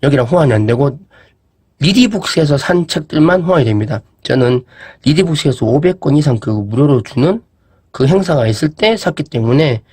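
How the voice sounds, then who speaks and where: A man's voice: fairly high pitch, brisk, breathy edge. A man casually reviewing an e-reader, chatting and thinking aloud as he shows it off. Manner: relaxed, chatty, thinks aloud with fillers and asides. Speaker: product reviewer. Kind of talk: casual product demo vlog.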